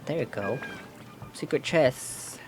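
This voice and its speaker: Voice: mid-range voice, lively intonation, takes it slowly. A game streamer giving casual gameplay commentary in a bemused, reactive mutter. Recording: faint game music behind.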